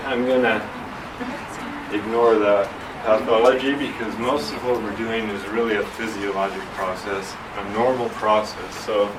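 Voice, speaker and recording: A soft-spoken middle-aged man gives an informal lecture, his voice sitting fairly low. He is picked up from across an echoey hall, with loud hiss and room noise behind him. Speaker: orthodontics lecturer. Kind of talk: classroom lecture. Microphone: room mic from a distance. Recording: echoey, distant, noisy room recording.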